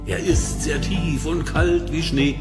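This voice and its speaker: A man's voice: a little high, fairly even intonation, slow, over loud music. A man performing a storytelling children's song, with spoken asides between the verses. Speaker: singer.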